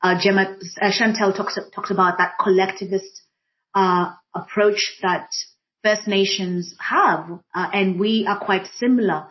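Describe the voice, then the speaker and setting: A woman with a Kenyan accent and Swahili as her first language, reflecting deliberately on her own life as a panel guest, rephrasing for emphasis. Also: mid-range voice, fairly even intonation, highs cut off. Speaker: panel guest, diversity and inclusion practitioner. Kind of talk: reflective personal account in a group discussion.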